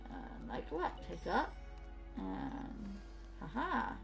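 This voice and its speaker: A woman's voice: fairly low pitch, wildly swooping pitch, slow pace, vocal fry, music in the background. Woman streamer, casual gameplay commentary. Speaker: gaming streamer.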